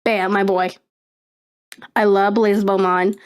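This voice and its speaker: A young woman streamer chatting casually on a live stream, rambling and excitable, with lots of fillers and laughter. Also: mid-range voice, slow, clean tone.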